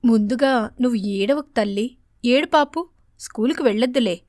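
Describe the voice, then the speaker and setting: A woman voicing a gentle, protective mother sparrow in a cartoon story, speaking in a tender, coaxing, soothing way. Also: fairly high pitch, moderate pace, no echo, studio quality, lightly acted.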